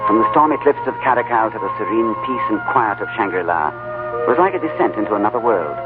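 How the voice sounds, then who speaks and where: A man plays a calm, urbane adventurer in an old radio play, reflective and eloquent. His mid-pitched voice moves in lively swells. It comes through a thin, tinny recording with music behind. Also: fast, close to an everyday voice. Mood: awed, reflective. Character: calm, urbane, philosophical diplomat-adventurer telling his tale. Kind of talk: 1940s radio acting and dramatic narration.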